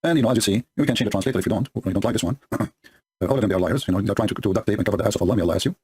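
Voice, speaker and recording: Male commentator, native Arabic speaker, husky voice, sarcastic and combative, broken English, sped-up recording. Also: on the low side, racing, a little mellow. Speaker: religious polemic commentator. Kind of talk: commentary reading and mocking scripture. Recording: sped up to double speed.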